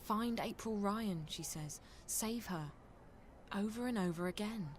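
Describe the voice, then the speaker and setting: A woman playing an earnest, bewildered young game heroine who speaks haltingly as she searches for words. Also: medium pitch, slight background noise, lightly acted. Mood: earnest, insistent. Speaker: video game character. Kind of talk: video game cutscene acting. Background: background music.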